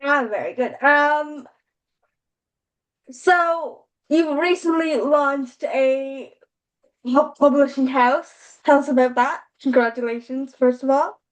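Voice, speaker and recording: A woman's voice: high-pitched, slow, rough recording. A woman hosting a show, asking a guest questions in a conversational interview style.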